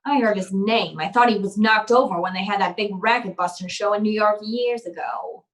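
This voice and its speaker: A woman's voice: mid-range voice, moderate pace, natural voice. A woman playing a tough, terse hardboiled private eye in an old-time radio detective play. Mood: cool, probing. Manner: terse, no-nonsense.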